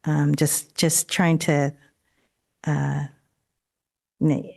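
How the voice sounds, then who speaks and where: A woman raised in Daly City whose first language was not English, speaking as a school board trustee at a meeting, with a halting delivery full of fillers and restarts. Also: low voice, level tone, vocal fry, a little mellow. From Daly City, California. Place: district office board room.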